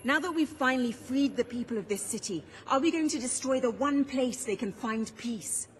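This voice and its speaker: Earnest defiant court petitioner. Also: female voice, high voice, lively intonation, moderate pace, slight background noise. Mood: earnest pleading. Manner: earnest, impassioned, formal. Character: earnest, defiant female activist pleading at court.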